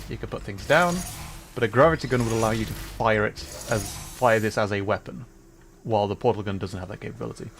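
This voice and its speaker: A man casually commenting as he plays a game, relaxed and chuckling, explaining the mechanics to viewers. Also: medium pitch, slight background noise. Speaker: streamer.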